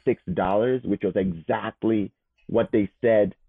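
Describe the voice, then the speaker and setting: A man in his thirties, a guest telling his life story in a casual, animated way. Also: on the low side, unhurried. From Nigeria. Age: 30s. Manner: animated, repeats words as he goes. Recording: phone-like narrow sound.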